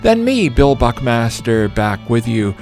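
A man's voice: medium pitch, big pitch swings, unhurried, darkish tone, soft background music. A man hosting a radio talk show, asking easygoing, chatty questions with many fillers. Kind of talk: radio interview.

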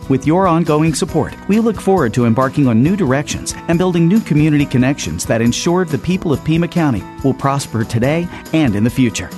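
A man reading a scripted radio ad in a smooth, measured promotional voice. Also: medium pitch, darkish tone. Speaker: announcer. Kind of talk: scripted college ad read.

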